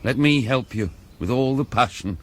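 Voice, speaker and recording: A man's voice: mid-range voice, lively intonation, normal speed, a little hiss or hum, close to an everyday voice. A British man playing an ardent, defiant churchman in a radio drama, earnest and impassioned. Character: ardent, defiant churchman, self-confessedly weak.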